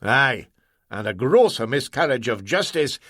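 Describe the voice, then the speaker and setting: A man's voice: medium pitch, big pitch swings, a little slow, a bit bright, no room sound, clean studio sound. A man voicing a feverish, cunning male ship's engineer with a broad Scottish accent. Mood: gruff. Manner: broad dialect, stubborn and sly.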